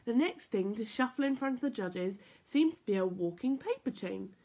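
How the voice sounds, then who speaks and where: A woman reading a children's story aloud in an expressive, playful, emphatic way. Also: a little high, moderate pace. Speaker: story time reader for children.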